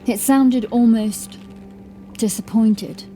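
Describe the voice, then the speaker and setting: A woman narrator reading scripted horror fiction in a measured, deliberate, dramatic storytelling style. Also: fairly high pitch, background noise. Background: music.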